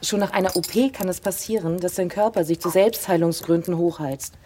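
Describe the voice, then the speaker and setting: Woman acting as cool journalist, lowish voice, brusque, detached, musing, naturalistic radio drama, faint background noise. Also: a little fast, mellow, natural voice. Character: cool, brusque woman journalist absorbed in her own observations.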